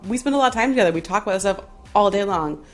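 A woman immigration lawyer speaking in a quick, animated way. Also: medium pitch, soft background music.

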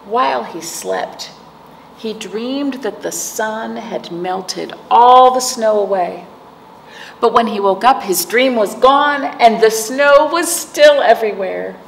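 Middle-aged woman, children's storytime host, unhurried delivery, creaky voice, simple child-directed phrasing. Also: medium pitch. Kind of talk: storytime read-aloud and chat to young children. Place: indoor library room, seated before patterned wall. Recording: echoey room with clear background noise.

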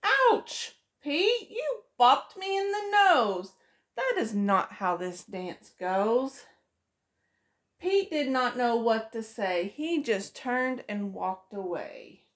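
A woman's voice: fairly high pitch, very animated, slow pace. A middle-aged woman, a preschool teacher, reading a picture book aloud to children in a sing-song way, voicing each character, recorded close up in a small room.

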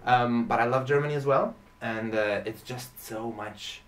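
A man's voice: medium pitch, normal speed. A young man from Romania, a native Romanian speaker, chatting casually and talking at length in an interview. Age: young adult. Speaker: podcast guest, classical guitarist. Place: small indoor room with curtain and shelves. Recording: distant microphone in a room.

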